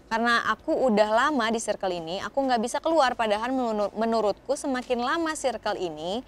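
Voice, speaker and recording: A woman's voice: on the high side, animated, brisk. A woman hosting a casual advice talk, speaking informally with English words mixed in. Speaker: host reading audience questions.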